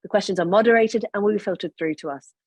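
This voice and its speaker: A woman's voice: mid-range voice, fast, slightly rough, no room sound. Woman moderator, upbeat, close and dry recording. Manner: upbeat, welcoming. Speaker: panel moderator. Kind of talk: moderating an online panel.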